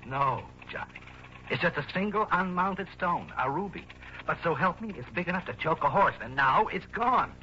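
A man playing an excitable, griping American insurance man who talks in emphatic slang in a 1960s radio drama. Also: on the high side, moderate pace. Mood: agitated, emphatic. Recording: dull, noisy old radio recording.

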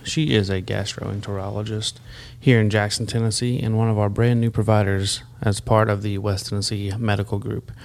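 A man's voice: lowish voice, little pitch movement, moderate pace, gravelly. A man hosting a studio health podcast, asking a guest friendly, upbeat questions. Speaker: podcast host. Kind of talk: studio interview.

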